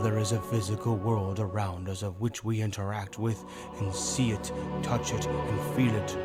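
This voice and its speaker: A man voicing a calm, seductive demon tempter, a philosophical villain who speaks in deliberate, persuasive, theatrical phrases. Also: mid-range voice, natural voice. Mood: musing, hushed. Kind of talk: dark fantasy audio roleplay monologue, ASMR. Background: music.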